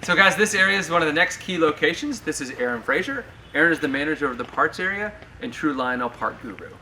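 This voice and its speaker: An American man talks quickly and with energy as he guides a group and introduces a colleague. His voice comes from some distance from the camera. Steady warehouse hum sits under his words. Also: on the high side. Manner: upbeat, introduces people and sums up. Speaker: company tour guide. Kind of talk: guided tour talk to a group. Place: large warehouse, indoors. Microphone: far camera held by a tour member. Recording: distant, noisy warehouse recording.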